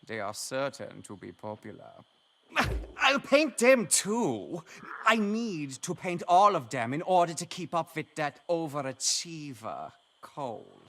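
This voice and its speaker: An actor playing an affable, envious landscape painter in a video game, musing theatrically. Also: male voice, a little high, very animated, a little slow, a bit bright, full character voice. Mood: dreamy, confident, then competitive, eager. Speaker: quest-giving game character. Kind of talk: video game character dialogue.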